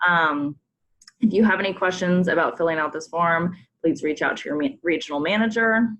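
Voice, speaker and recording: A woman's voice: lowish voice, normal speed, fairly bright tone. A woman from a sports program staff, leading a coach conference call and walking through forms with many filler ums. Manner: friendly, frequent ums, polite reminders. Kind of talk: instructional briefing with screen walkthrough.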